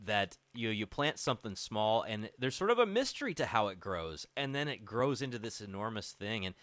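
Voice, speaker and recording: A man's voice: lowish voice, big pitch swings, moderate pace, a bit bright, no room sound. A man hosting a conversational radio interview, explaining things in an informal, reflective way. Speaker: radio show host.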